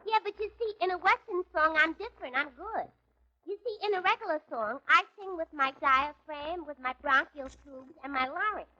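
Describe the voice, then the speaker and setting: Shrill, brash young-sounding gal. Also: female voice, normal speed, pushed and bright, dull audio. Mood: self-assured. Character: brash, ditzy woman proud of her dreadful singing. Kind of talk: 1940s radio comedy acting. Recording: old 1940s radio broadcast.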